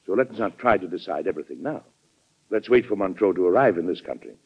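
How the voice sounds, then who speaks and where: A man's voice: low voice, animated, fast talker, breathy edge. An actor playing a weary but firm, fatherly American president in an old-time radio drama, speaking earnestly with authority and occasional hesitation. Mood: measured, conciliatory. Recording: muffled vintage radio drama recording.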